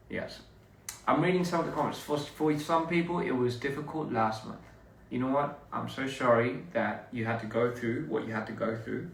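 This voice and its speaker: A man's voice: mid-range voice, fairly bright tone, slight background noise. A man from Australia, chatting casually on a livestream and rambling with many restarts. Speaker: livestream host. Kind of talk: casual livestream chat with viewers. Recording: echoey room, a bit far from the mic.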